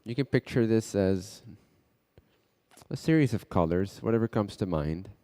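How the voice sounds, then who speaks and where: A man with a mellow, fairly low voice guides a meditation in a long, reverberant space. He speaks in short phrases and leaves pauses between them, unhurried as a teacher leading students inward. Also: animated.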